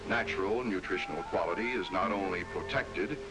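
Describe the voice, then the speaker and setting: A man's voice: deep voice, a little fast, over music, poor audio. An American man reading scripted 1960s documentary narration with an emphatic, authoritative delivery on an old film soundtrack.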